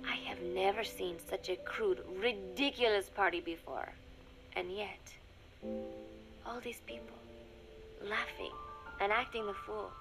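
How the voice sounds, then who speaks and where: An actress playing a young secret agent confiding in a recorded diary, speaking in measured, formal phrases that often drop to a murmur, wistful and resigned. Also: female voice, mid-range voice, lightly acted, low-quality recording. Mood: wistful, resolute. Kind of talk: scripted dramatic monologue, recorded diary.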